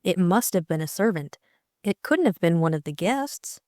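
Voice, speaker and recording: A woman's voice: lowish voice, lively intonation, normal speed, warm tone, no echo, studio quality, natural voice. A woman voicing a spirited, sarcastic young society woman in an audiobook. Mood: pondering. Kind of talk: audiobook character dialogue.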